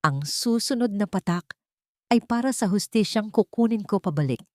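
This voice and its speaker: A woman's voice: medium pitch, animated, moderate pace, warm tone, dry recording, clean studio sound, pitched above the performer's own voice, close to an everyday voice. Woman acting a wronged young mother, earnest and desperate. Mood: bitter resolve.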